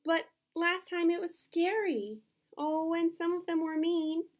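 A woman reading aloud, voicing a gentle, shy female unicorn from a picture book in an earnest, hesitant way. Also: very high-pitched, flat delivery, a little slow, voice pushed up. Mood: worried.

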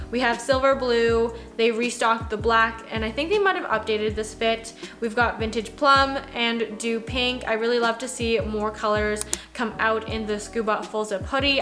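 Young woman, casual vlog chat, bright voice, faint music underneath, home office. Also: on the high side. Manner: chatty, conversational, lots of "like" fillers. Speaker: fashion vlogger. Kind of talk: casual product review chat. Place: small home office, indoors.

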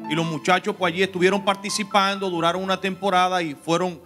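Bright high-voiced male co-host. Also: flat delivery, quick pace, soft background music. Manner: formal, explanatory. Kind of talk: TV interview questions.

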